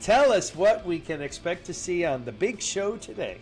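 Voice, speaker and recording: A man co-hosting a podcast, his voice sitting a little high and sounding nasal and stuffed-up. He talks casually and chattily, laughing along easily with his partner. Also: big pitch swings, normal speed, music in the background. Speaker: podcast co-host.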